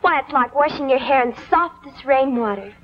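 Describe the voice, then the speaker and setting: Cheerful commercial girl, high pitch, vintage ad delivery, muffled old recording. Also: animated, normal speed. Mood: bright, delighted. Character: cheerful pretty girl praising her shampoo. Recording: dull vintage broadcast recording.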